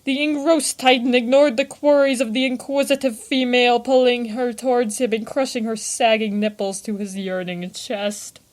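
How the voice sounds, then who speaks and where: A woman's voice: fairly high pitch, fairly even intonation, moderate pace, few pauses. A woman narrating a story aloud in a theatrical way, savouring the florid prose.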